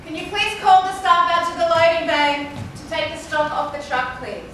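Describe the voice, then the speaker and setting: A woman's voice: extremely high pitch, moderate pace, a bit bright, recorded from a distance. A woman acting a flustered, easily startled shop worker in an amateur stage play. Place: indoor theatre stage before an audience. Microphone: distant camera in the audience. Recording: echoey, noisy theatre recorded from afar.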